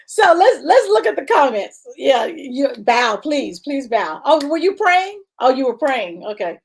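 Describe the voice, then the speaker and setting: A woman's voice: sits high, sing-song, measured pace, gravelly. A woman hosting a casual live-stream chat, bubbly, laughing often and reading viewer comments aloud. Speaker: show host.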